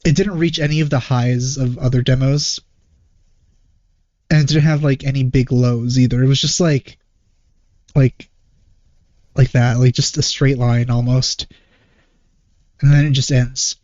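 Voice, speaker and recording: A man giving casual reaction commentary as a host, hesitant and rambling as he thinks out loud. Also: medium pitch, steady tone, a little slow, long pauses, dry recording. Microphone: wrong microphone, close and dry. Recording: dull, muffled lo-fi microphone.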